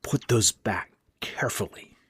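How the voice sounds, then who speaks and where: A man's voice: measured pace, dry recording, whispered, studio quality, lightly acted. A man voicing an exhausted, sick old man in a horror story, irritable and commanding, with hissing, growling, emphatic delivery. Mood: hissing, impatient. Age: older. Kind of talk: dramatic horror fiction reading.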